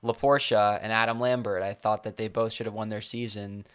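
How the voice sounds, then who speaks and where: A man hosting a podcast interview, relaxed and thoughtful, often restarting his sentences. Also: fairly low pitch, brisk. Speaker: podcast host. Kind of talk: casual interview.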